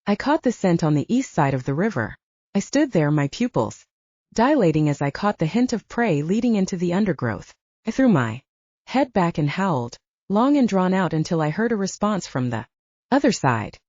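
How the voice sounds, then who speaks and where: A woman's voice: on the low side, sing-song, normal speed, darkish tone, dry recording, highs cut off. A woman reading a scripted audiobook story as its narrator.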